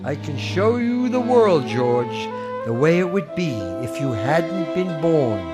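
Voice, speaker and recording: A man playing a gentle, fussy guardian angel in a radio play, speaking in a quaint, genteel, eager way. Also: a little high, big pitch swings, takes it slowly, loud music behind the voice. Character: gentle, fussy, old-fashioned male angel. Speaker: radio actor. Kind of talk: 1940s radio acting.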